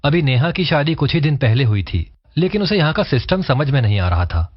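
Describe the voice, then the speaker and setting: A man narrates a cartoon story from a script. His voice sits at a medium pitch, and the recording is a little dull and lo-fi. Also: sing-song, a little fast, dry recording.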